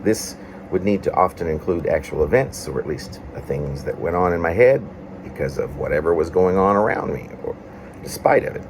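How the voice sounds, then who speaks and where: A man with a very low voice reads his own introspective piece. His phrasing wanders and halts, breaking into short fragments. A faint, steady hum sits behind him. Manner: meandering, halting phrasing with pauses. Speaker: poet reading own work. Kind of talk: reflective reading of a personal essay-poem.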